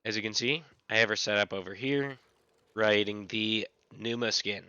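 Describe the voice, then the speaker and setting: A man with a scratchy voice, casually explaining how he plays a game character, with relaxed, repetitive phrasing. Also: on the low side, normal speed, smooth, no echo, muffled recording. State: recovering from illness. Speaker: gaming channel host. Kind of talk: casual gameplay tutorial.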